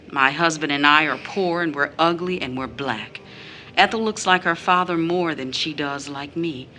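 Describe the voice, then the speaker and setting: A woman's voice: low-pitched, sharp tone. An actress playing a tired, numb, poor mother, wary and protective, with blunt questioning, in an audio drama. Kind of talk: scripted audio drama acting.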